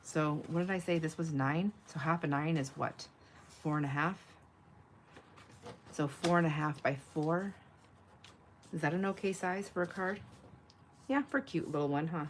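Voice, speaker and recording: A woman's voice: deep voice, normal speed, pauses often, a little hiss or hum. A woman hosting a casual craft livestream, chatting and thinking aloud in a rambling way, with a slightly nasal voice. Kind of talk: casual live chat while crafting.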